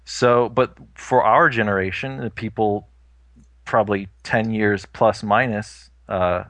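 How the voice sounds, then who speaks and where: A man's voice: fairly low pitch, steady tone, slow pace, a bit bright, no room sound. A man in his thirties in casual podcast chat, talking things through thoughtfully with lots of "you know" fillers. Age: 30s.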